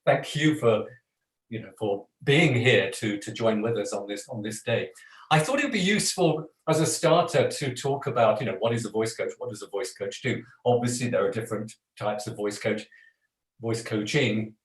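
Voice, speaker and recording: A man's voice: on the low side, moderate pace, reverberant, low-quality recording. A man teaching a live voice workshop as a voice coach, talking in a chatty, self-deprecating way and laughing often. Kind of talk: live online workshop talk.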